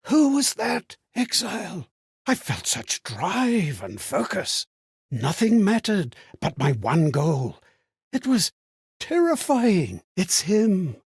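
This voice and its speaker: A husky, somewhat high-voiced man speaks as a troubled, amnesiac wanderer in a fantasy video game. His delivery is dramatic and measured, with an anxious sense of wonder. The recording is dry, with very little room around the voice. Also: wildly swooping pitch, no room sound, full character voice. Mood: grandiose, feverish. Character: anxious, amnesiac male wanderer reliving strangers' memories. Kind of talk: theatrical video game character monologue.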